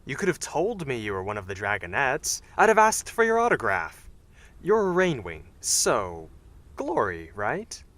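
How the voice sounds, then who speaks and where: Male actor, cartoon dragon assassin, medium pitch, lively melodic delivery, charming, flippant, teasing. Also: a little slow. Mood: playful, teasing. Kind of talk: animated fan dub acting.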